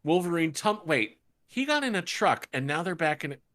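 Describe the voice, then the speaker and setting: A woman's voice: fairly low pitch, big pitch swings, normal speed, fairly bright tone. A woman from New York state reading a script aloud as a podcast co-host, breaking off for sardonic, incredulous asides. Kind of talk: script table read with joking commentary.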